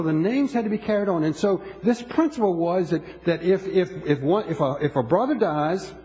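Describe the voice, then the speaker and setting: A man's voice: high-pitched, warm tone, big-room sound, low-quality recording. A man preaching a sermon to his congregation in a church, emphatic and repeating his words as he talks to them. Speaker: pastor.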